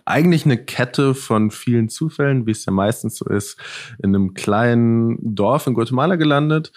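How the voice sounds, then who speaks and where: A man's voice: medium pitch, moderate pace, a little mellow, dry recording, clean studio sound. A young man from northern Germany, a founder answering interview questions casually and reflectively, often searching for words. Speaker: podcast guest, founder.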